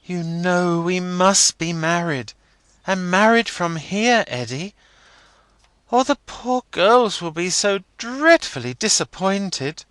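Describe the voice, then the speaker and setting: A man voicing a playful, pouting young woman in a high, sing-song girlish voice. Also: expressive, slow, fairly bright tone, smooth, dry recording, exaggerated voice. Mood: wistful.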